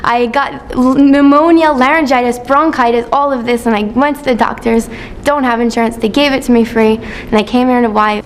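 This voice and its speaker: A hoarse woman with a somewhat high voice talks on apologetically, piling up explanations. A faint noise hangs behind her. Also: steady tone, fairly quick. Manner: apologetic and talkative. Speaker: auditioning contestant.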